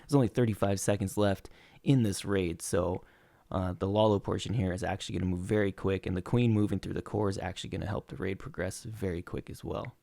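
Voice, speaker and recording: A young man talking over his game replays in a relaxed play-by-play, losing his voice and filling gaps with "uh". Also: deep voice, fairly quick, vocal fry, dry recording. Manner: relaxed play-by-play with frequent uh fillers. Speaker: gaming channel host. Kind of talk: commentating over gameplay replays.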